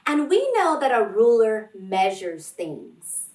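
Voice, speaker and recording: A woman hosting a children's read-along, reading expressively and addressing young listeners deliberately as friends. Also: a little high, sing-song, darkish tone. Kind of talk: expressive picture-book read-aloud and talk to young children. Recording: roomy, distant microphone, music behind.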